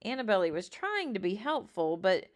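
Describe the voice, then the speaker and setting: A woman reading a children's story aloud expressively, with dramatic drawn-out emphasis. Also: medium pitch, very animated. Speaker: storybook reader.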